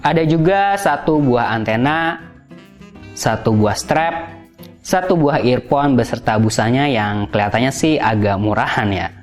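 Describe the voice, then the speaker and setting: A man's voice: mid-range voice, animated, measured pace. A man hosting a gadget review, narrating in a casual, explanatory style. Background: background music.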